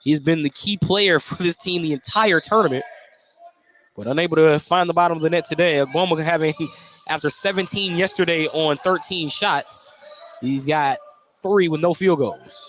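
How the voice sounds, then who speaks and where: A man's voice: fairly high pitch, normal speed, fairly bright tone. An American man giving live radio play-by-play commentary as a basketball announcer. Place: indoor high school basketball gym. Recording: clearly noisy live gym broadcast.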